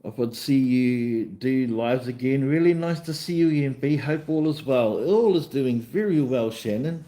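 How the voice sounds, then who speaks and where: A man's voice: mid-range voice, moderate pace, warm tone. A man with a New Zealand accent hosting a casual livestream chat in an easygoing, rambling way, often repeating himself. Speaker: livestream host and vlogger.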